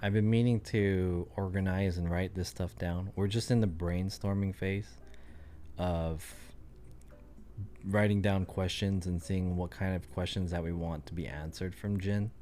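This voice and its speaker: A man's voice: deep voice, steady tone, a little slow, dark tone, a little hiss or hum. A man chatting casually on his livestream, rambling and thinking aloud with lots of fillers. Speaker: streamer.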